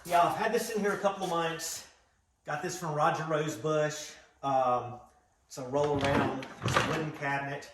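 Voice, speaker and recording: A man's voice: a little high, steady tone, normal speed, background noise. A man hosting a casual show-and-tell vlog, talking in a folksy, rambling, enthusiastic way. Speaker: vlog host, vintage garage restorer.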